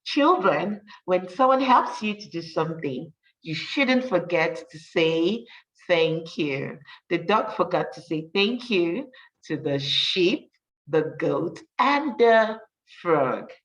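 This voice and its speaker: A woman teacher reading a rhyming story for children, with an encouraging manner and deliberate, emphatic delivery. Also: on the low side, animated, a bit bright, distant mic, rough recording. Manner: encouraging, praising, addressing children directly.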